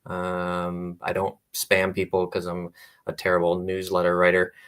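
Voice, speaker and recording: A man, a guest author, talking in a relaxed interview with many pauses and fillers and frequent laughs. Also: deep voice, clear, resonant tone. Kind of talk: casual interview conversation. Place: radio or podcast interview.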